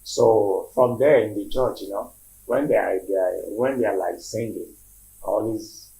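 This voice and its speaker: A man's voice: on the low side, measured pace, mellow, poor audio. A man from Lagos with a Nigerian accent, talking casually as an interview guest, repeating himself and checking that he is understood.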